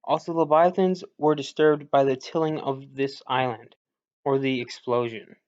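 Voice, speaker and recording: Male game commentator, mid-pitched, informal and a little hesitant, explaining as he thinks aloud. Muffled lo-fi sound. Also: steady tone, normal speed, a little mellow. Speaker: gaming video commentator. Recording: dull lo-fi recording.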